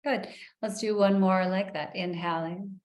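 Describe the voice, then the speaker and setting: Slow, soothing woman guiding meditation. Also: mid-range voice, steady tone, reverberant. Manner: soft, slow and soothing, with long pauses. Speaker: meditation guide. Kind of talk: guided meditation.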